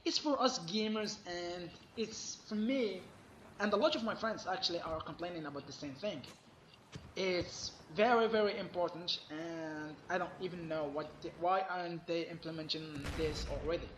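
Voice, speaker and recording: A man giving an emphatic, complaining gaming commentary while losing his voice, sounding hoarse. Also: high-pitched, moderate pace, a bit bright, echoey room, a little hiss or hum, low-quality recording. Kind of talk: solo gaming rant.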